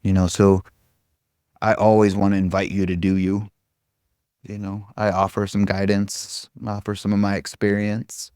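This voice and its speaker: A man's voice: lowish voice, monotone, moderate pace, a little mellow, clean tone, no echo, clean studio sound. A man giving an informal spiritual talk to a group as a meditation teacher, rambling and irreverent, with casual, self-deprecating humor. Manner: irreverent, casual, frequent swearing.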